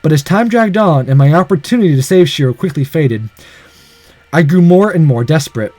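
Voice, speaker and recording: Man, higher-pitched voice, very lively intonation, dramatic game narration, sarcastic asides, exclamatory. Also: a little fast, no room sound. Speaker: let's-player reading a visual novel aloud. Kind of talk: dramatic read-aloud with playful commentary.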